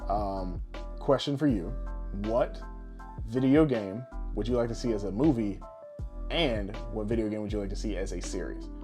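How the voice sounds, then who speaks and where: A man's voice: on the low side, normal speed, dark tone, soft background music. A man co-hosting a podcast, chatting casually and informally, often explaining things through examples. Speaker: podcast co-host.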